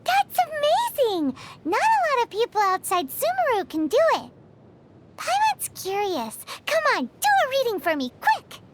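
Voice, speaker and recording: A woman's voice: extremely high pitch, wildly swooping pitch, moderate pace, background noise, heavily acted. A woman voicing a tiny, cheeky floating companion creature in a video game, bubbly and teasing, talking about herself in the third person. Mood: excited.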